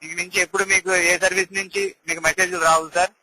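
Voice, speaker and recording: A man's voice: sits high, level tone, quick pace, pushed and bright. A man working as a customer care agent on a phone call, speaking in polite, apologetic, scripted service phrases. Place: telephone call. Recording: noisy phone call recording.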